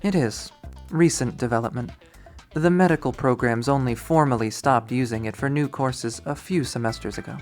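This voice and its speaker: A man voicing a composed, formal college woman with dry wit and measured, precise speech in a visual novel. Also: medium pitch, soft background music, lightly acted. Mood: businesslike.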